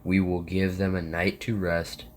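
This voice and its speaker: Man playing a low-voiced, resolute captain. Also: level tone, unhurried, a little hiss or hum. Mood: grave, firm. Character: stern, resolute military captain burdened by guilt. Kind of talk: amateur audio drama acting.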